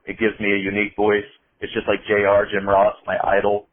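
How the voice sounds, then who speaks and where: A man's voice: on the low side, monotone, brisk. A man with an Indiana accent, a wrestling commentator chatting on a podcast, talking easily with many restarts and fillers. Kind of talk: casual podcast chat. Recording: phone-line podcast audio.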